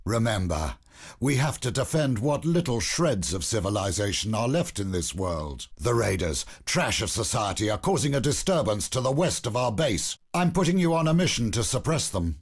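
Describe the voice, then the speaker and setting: An actor playing a stern, weary older fleet commander in a video game, solemn and weighty in delivery. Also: male voice, mid-range voice, sing-song, normal speed, slightly rough. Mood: commanding. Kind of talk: scripted video game acting. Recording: loud music under the voice.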